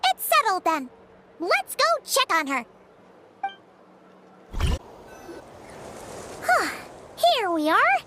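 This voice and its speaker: A woman voicing a tiny, excitable, cheeky fairy girl companion with a squeaky childlike voice in an anime game. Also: a little slow, heavy background noise, full character voice. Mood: uneasy. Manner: chatty, blunt, third-person childish exclamations. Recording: game music prominent behind voice.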